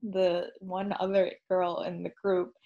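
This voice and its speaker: A woman's voice: on the low side, flat delivery, measured pace, muffled recording. A young woman from Pakistan co-hosting a podcast, telling stories in casual chat and laughing easily. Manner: storytelling, laughs easily, heavy use of "like". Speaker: podcast co-host.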